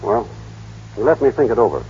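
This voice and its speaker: A man's voice: medium pitch, big pitch swings, normal speed, close to an everyday voice. An actor playing a shy, naive small-town American man in a vintage radio drama, hesitant, boyish and plainspoken. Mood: sheepish. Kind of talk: 1930s-40s radio drama acting. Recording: dull, muffled old radio broadcast.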